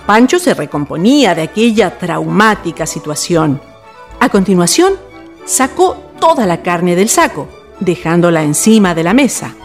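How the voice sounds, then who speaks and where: A woman narrates a radio drama from a script. Her voice sits a little low, with faint music underneath. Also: sing-song, moderate pace.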